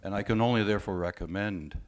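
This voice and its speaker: A man speaking as a panelist at a conference discussion, measured and often hesitating. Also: fairly low pitch. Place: conference room.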